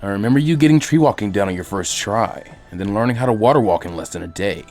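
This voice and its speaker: A man voicing a calm, laid-back masked male ninja teacher with a mildly teasing manner. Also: lowish voice, quick pace, soft background music, voice pushed down, lightly acted. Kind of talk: fan fiction reading.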